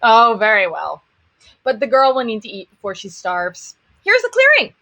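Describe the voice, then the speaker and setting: Woman as a bright, theatrical, proud lion. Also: a little high, huge pitch swings, moderate pace, exaggerated voice. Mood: grudging. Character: proud, grudgingly helpful talking lion. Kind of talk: live table read of a fantasy story.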